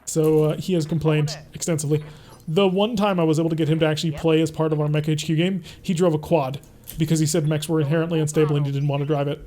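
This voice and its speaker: A man's voice: on the high side, little pitch movement, quick pace, mellow. Chatty young male game streamer. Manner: chatty, reads and answers chat while playing. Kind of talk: casual gameplay commentary.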